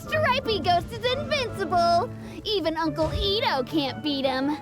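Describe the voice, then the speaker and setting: Brief: proud young kid champ in a game, high and drawn-out, excitable. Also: exaggerated voice. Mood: childish. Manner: gloating, excitable. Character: proud little boy, cocky beetle-fighting champ. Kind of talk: video game voice acting. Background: game music.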